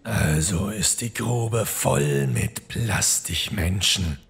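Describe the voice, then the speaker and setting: A man voicing a mysterious goat-man of the woods in an audio horror story, gravelly and husky, cryptic and dry-witted. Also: low voice, lively intonation, a bit bright, pitched below the performer's own voice, full character voice. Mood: dryly wry. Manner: slow, cryptic, deliberate.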